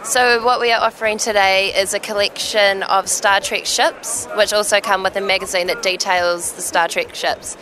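Sales rep lady, smooth pitch voice, bright, mid pitch. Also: fairly even intonation, moderate pace, few pauses, soft background music. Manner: polished, sales-pitch delivery. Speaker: sales representative. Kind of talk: product pitch.

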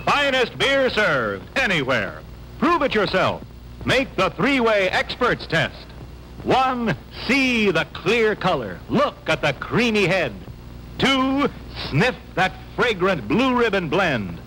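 A man announcing a vintage radio advertisement with an emphatic sales pitch. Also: huge pitch swings, slow pace, sharp tone, a little hiss or hum, poor audio. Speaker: commercial announcer. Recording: old radio archive, faint music behind. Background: faint music.

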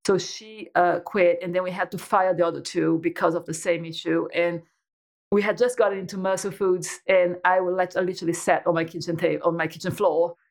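A woman's voice: fairly low pitch, a little fast, creaky. Woman in her 40s, chatty guest, lots of uh and um, stops and starts a lot. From Brazil. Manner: animated storyteller with many restarts and fillers. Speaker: guest entrepreneur. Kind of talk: casual interview chat.

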